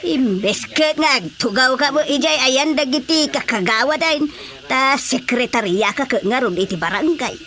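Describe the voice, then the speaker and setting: A woman's voice: sits high, lively intonation, normal speed, fairly bright tone. A woman playing a stubborn village mother in a comedic radio drama, complaining and exclaiming in an Ilocano Filipino accent. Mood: grumbling.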